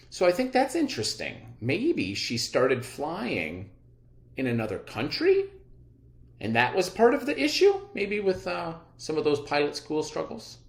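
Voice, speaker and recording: A man teaching a read-aloud lesson, instructive, pausing to wonder aloud and put questions to his listeners. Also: on the high side. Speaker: elementary school teacher leading a reading lesson. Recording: roomy, echoing sound.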